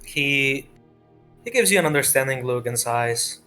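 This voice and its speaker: A man's voice: mid-range voice, expressive, normal speed, bright, forceful tone. A man improvising narration as game master of a tabletop roleplaying game. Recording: music playing behind.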